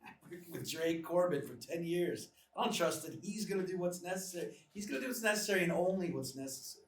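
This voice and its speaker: An actor in a live script reading playing a shifty, distrustful scientist with a deliberate, guarded delivery. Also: male voice, high-pitched. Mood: guarded, conspiring. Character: shifty male scientist, quietly conspiring and distrustful. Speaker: actor in a live screenplay table read. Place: small live theatre stage. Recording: distant, echoey live theatre recording with noise.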